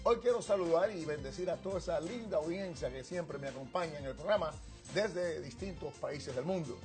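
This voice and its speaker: A man's voice: on the high side, fairly quick. A man, a pastor, hosting a talk show in a jovial, teasing way. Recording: music under the voice. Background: theme music.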